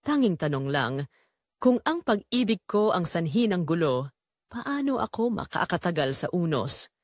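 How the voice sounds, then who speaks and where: A woman's voice: lowish voice, big pitch swings, moderate pace, no room sound, studio quality. A woman reading scripted first-person narration for a dramatic audio story.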